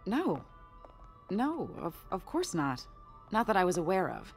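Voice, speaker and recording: An actress playing a polished, guarded woman art dealer in a video game, with a smooth, refined manner that turns curt when pressed. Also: on the low side, wildly swooping pitch, a little slow, soft background music, full character voice. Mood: evasive. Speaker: art auction house owner.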